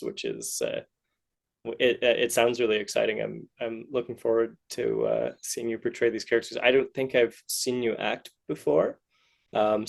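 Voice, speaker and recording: A man with a gravelly, creaky voice at an ordinary male pitch. He interviews casually, feeling his way through questions and offering encouragement. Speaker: podcast interviewer.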